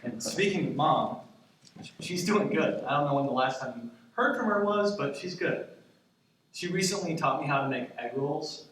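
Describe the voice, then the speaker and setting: A man in his twenties playing an anxious grandson in a stage monologue, talking in a halting, conversational, self-deprecating way. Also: fairly high pitch, expressive, a bit bright. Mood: fond, wistful. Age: 20s. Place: stage in a theater, recorded from the audience. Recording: echoey theater, distant mic, faint noise.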